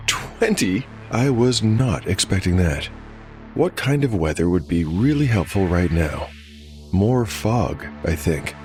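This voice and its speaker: A man acting as game master and narrator of a dark fantasy audio drama. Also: low voice.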